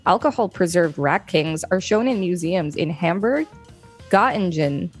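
A female podcast co-host with a fairly low voice reads reference text aloud. She adds casual commentary as she goes. Faint music sits in the recording. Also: expressive, a little slow, husky. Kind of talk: reading an encyclopedia page aloud with casual commentary.

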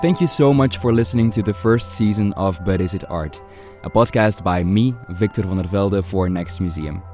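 A man's voice: sits low, moderate pace, dark tone, soft background music. A man hosting a podcast, reading scripted narration in an explanatory way. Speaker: podcast host.

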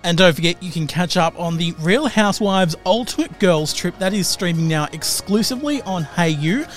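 Upbeat high-voiced host. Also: male voice, animated, moderate pace, faint music behind. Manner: upbeat, chatty. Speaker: podcast host. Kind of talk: casual interview chat.

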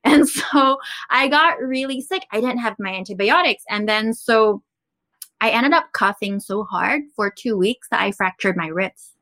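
A woman from Taiwan who grew up in the Philippines, a guest telling personal stories in a lively way and laughing between phrases. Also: fairly high pitch, a little slow. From Taiwan, raised in the Philippines. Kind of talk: conversational interview.

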